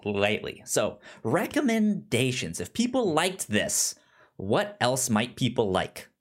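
A man co-hosting a podcast, talking casually about films, a bit halting and repeating his words. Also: medium pitch, huge pitch swings. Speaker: podcast co-host.

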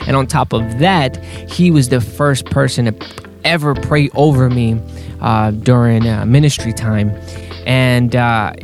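A man hosting a faith podcast, talking earnestly and a little haltingly with fillers and restarts. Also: mid-range voice, fairly even intonation. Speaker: podcast host. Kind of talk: casual podcast intro. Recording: clear guitar music underneath.

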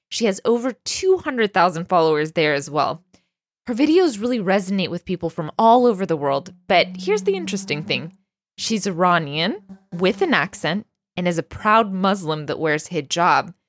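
Casting: woman, podcast host and interviewer, medium pitch, dry recording with little room sound. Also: no echo. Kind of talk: scripted introduction, then casual interview chat.

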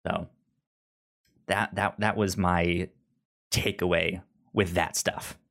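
A man co-hosting a casual review chat, talking haltingly and often stammering over repeated words. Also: sits low, level tone, creaky, a bit bright. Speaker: podcast co-host reviewing audio drama.

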